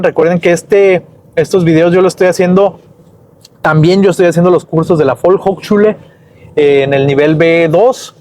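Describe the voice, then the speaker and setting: A man talks through a lesson while walking home outdoors. His voice sits a bit high, and he wanders, backtracks and corrects himself in a loose, chatty way. Faint outdoor ambience hums underneath. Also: darkish tone, slight background noise. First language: Spanish. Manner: rambling, self-correcting, chatty. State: dry-mouthed. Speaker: German teacher and vlogger.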